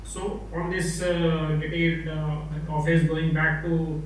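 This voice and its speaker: A man with a high, bright voice asks a question in class, halting as he looks for words. He sounds far from the mic in a very echoey room. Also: flat delivery. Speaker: student asking a question. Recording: very echoey, distant, faint noise.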